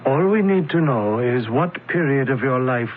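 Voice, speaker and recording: An actor playing a courteous, quietly persuasive film company executive in a 1970s radio drama. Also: male voice, medium pitch, lively intonation, normal speed, lightly acted. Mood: assured. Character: suave mysterious film company executive man. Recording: lo-fi old radio broadcast with faint hiss.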